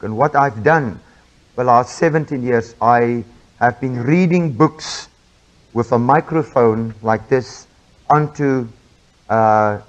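A man's voice: mid-range voice, low-quality recording. Afrikaans-accented man preaching casually. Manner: chatty, self-interrupting with frequent fillers. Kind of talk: informal sermon.

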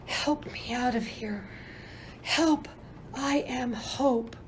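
Woman acting slow, high-voiced spirit of Hope. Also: a little hiss or hum, rough recording. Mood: pleading, earnest. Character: Hope, plaintive little spirit freed from a box. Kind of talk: theatrical radio play acting.

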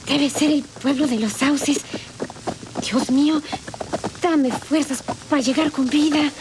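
High-voiced wounded young heroine, noisy. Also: female voice, takes it slowly. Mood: pained, determined. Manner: melodramatic, suffering. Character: wounded young woman, resolute, bitter, struggling onward. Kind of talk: old Spanish-language radionovela monologue. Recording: noisy old radio drama with clicks.

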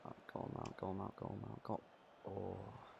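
A man with a croaky voice giving casual, excitable commentary on his own football video game match. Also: low voice, brisk, highs cut off, background noise. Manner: excitable, swears and laughs at own play. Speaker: gaming channel host.

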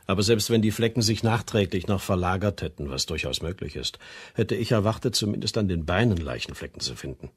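A man acting as a precise, sceptical pathologist in a German radio crime drama, explaining in a measured, clinical way. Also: low voice, animated, husky. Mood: clinical, firm. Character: precise, sceptical male pathologist reporting autopsy findings.

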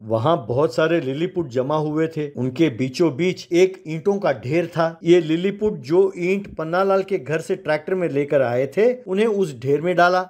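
A man's voice: fairly high pitch, moderate pace, few pauses, darkish tone, soft background music. A man narrating a children's moral story in scripted storytelling style.

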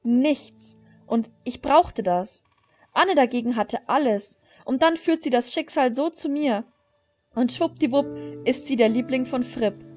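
A woman's voice: high-pitched, measured pace, a little mellow. A woman voicing a bitter, guilt-ridden young woman rider from a fantasy horse game in a dramatic, brooding confession. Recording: music behind the voice.

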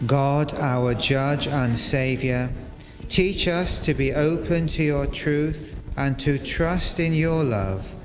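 An older man leading a church service, reading liturgy and prayers to a congregation in a measured, formal way, phrase by phrase. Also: mid-range voice. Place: inside a parish church, congregation present. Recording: echoey church hall with noisy ambience.

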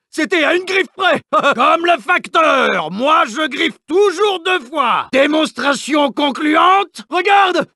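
A gleeful, show-off lizard monster from a cartoon game. The voice is sharp and forceful with a gravelly creak, recorded very dry. He taunts theatrically and relishes his claws. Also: very high voice, no echo, heavily acted. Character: boisterous, claw-obsessed male lizard monster, gleeful show-off. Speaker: voice actor in a French video game dub. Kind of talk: exaggerated cartoon game voice clips.

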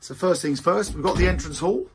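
Hoarse estate agent giving a tour. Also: male voice, high-pitched, brisk, noisy background. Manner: chatty, informal, many fillers and restarts. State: hoarse, lost his voice. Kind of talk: walking property tour. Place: outdoors in a cul-de-sac, then inside a bungalow and garden.

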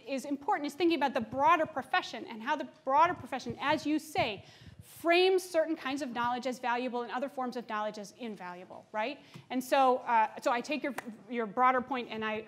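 A woman's voice: high voice, a bit bright. A woman keynote speaker answering audience questions in a large lecture hall, thoughtful, with restarts and asides.

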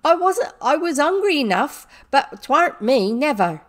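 A woman voicing a young, hungry servant girl in a storybook, sobbing and protesting in rough dialect. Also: sits high, big pitch swings, a little slow, voice pushed up. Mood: tearful protest. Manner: sobbing, uneducated dialect speech with dropped words. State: crying.

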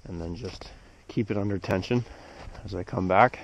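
A man, an arborist instructor, calmly walking through tying a knot step by step outdoors in the snow on a head-mounted camera. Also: sits low, moderate pace, slight background noise. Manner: steady, plain step-by-step explaining. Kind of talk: hands-on knot demonstration. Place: outdoors among snowy trees. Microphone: head-mounted action camera. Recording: dull lo-fi camera audio.

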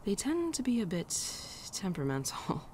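A woman playing a gentle, teasing winter spirit in a girlfriend ASMR roleplay, speaking softly and tenderly, often dropping to a near-whisper. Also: mid-range voice, big pitch swings, normal speed, a little hiss or hum, close to an everyday voice. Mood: playful, teasing. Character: gentle, teasing female winter spirit, affectionate old friend. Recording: birdsong and outdoor ambience behind.

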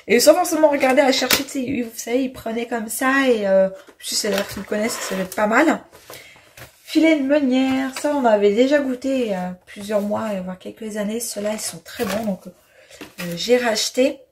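A young woman in her twenties talks through her groceries in a relaxed, rambling way, full of little hesitations. Her voice sits a bit high. She is recorded by a camera on her kitchen counter, with steady background noise throughout. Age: 20s. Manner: unhurried, chatty, many fillers and hesitations. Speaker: vlogger. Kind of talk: casual grocery haul chat. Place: bright home kitchen, indoors.

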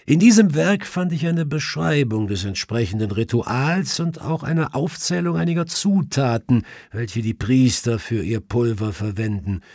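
A man's voice: mid-range voice, animated, unhurried, few pauses, darkish tone, no room sound, clean studio sound, voice pushed up. A man voicing a clever, self-assured male detective who explains things precisely in an audiobook. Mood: assured explaining. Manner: precise, explanatory, faintly mischievous.

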